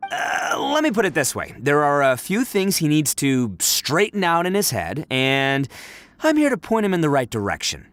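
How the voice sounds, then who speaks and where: An actor playing a brash, big-hearted young hero in a video game, with a showy, boisterous manner. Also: male voice, fairly high pitch, wildly swooping pitch, moderate pace, fairly bright tone, no room sound. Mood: awkward, confident. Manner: boisterous, showy, self-satisfied.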